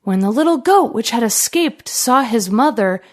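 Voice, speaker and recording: A woman narrating a fairy tale for children in an expressive, deliberate storytelling manner. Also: fairly high pitch.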